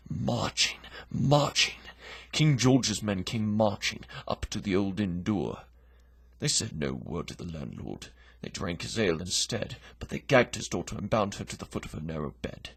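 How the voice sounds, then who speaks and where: A man giving a theatrical, dramatic poetry recitation in a breathy, gravelly voice, moving between hush and forceful declamation. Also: low voice, no room sound. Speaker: poetry reader.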